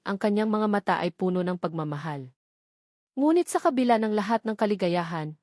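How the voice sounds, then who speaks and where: A woman's voice: mid-range voice, darkish tone, no echo, studio quality. A woman with a Filipino accent reads a scripted romance story as a dramatic narrator.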